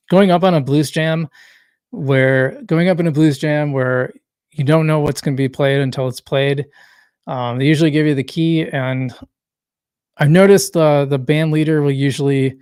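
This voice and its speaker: Guy rambling on his stream, voice kinda going hoarse, dry close sound. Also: fairly high pitch, darkish tone, no room sound. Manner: rambling, self-correcting, reads chat comments aloud. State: losing his voice. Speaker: livestream host. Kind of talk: casual solo livestream chat.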